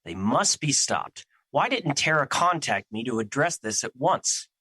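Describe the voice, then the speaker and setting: A man's voice: mid-range voice, very animated, a little slow, sharp tone, slightly rough, no echo, heavily acted. A man acting in an audio drama as a grave, brooding personified emotion who guards life, speaking in formal theatrical diction. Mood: alarmed, resolute.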